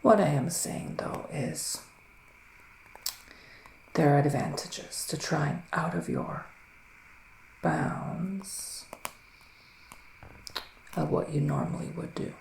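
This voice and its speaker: A man playing a gentle psychic witch in an ASMR roleplay, speaking in a soft, musing whisper with long pauses. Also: a little high, very animated, gravelly, echoey room, full character voice, low-quality recording. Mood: hushed, musing. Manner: slow, hushed, musing, with drawn-out pauses. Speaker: ASMR performer giving a psychic reading.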